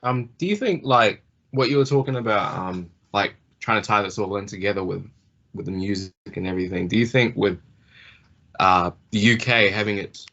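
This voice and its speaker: Low guy with a tense bright edge, long hesitant question, muffled call. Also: moderate pace. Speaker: interviewer. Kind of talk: conversational interview question.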